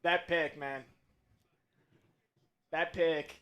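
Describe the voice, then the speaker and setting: Hoarse, screaming fan play-by-play man. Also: on the high side, steady tone, extremely slow, soft background music. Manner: yells the big plays, otherwise talks in a strained, hushed voice. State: losing his voice from screaming. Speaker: watch party play-by-play host. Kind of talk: excited fan play-by-play on a livestream.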